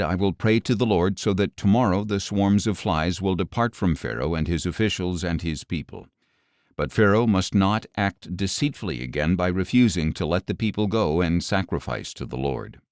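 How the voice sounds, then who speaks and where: A man with a deep voice narrates an audio scripture reading. His delivery is measured and solemn, each word clearly enunciated. The sound is dry, with no room around the voice. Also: darkish tone, dry recording.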